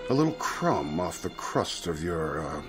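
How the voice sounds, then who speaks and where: A suave devil in a radio drama, pitched low and swooping freely. He is silky and coaxing, a genteel salesman who stretches his words theatrically. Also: sing-song, measured pace, music in the background. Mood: persuasive.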